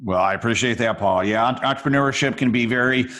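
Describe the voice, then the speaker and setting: A man's voice: medium pitch, fast talker, fairly bright tone. A man hosting a podcast interview, speaking in a friendly, appreciative, casual way. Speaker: podcast host. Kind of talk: interview conversation.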